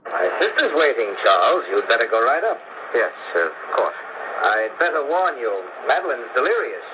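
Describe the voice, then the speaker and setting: Guy acting a polite worried man in a radio play, lively intonation, clear static noise from a radio speaker. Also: mid-range voice, moderate pace, natural voice. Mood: grave, concerned. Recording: noisy broadcast from a radio speaker.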